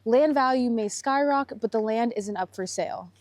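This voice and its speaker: A woman's voice: a little high, moderate pace. A woman narrating a travel vlog. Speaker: travel vlogger. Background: music.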